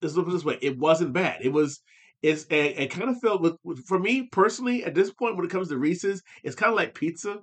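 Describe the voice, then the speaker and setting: A man's voice: a little high, animated, a little fast. A man co-hosting a podcast, rambling loosely in casual chat with lots of restarts. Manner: rambling, many fillers and restarts. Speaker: podcast co-host.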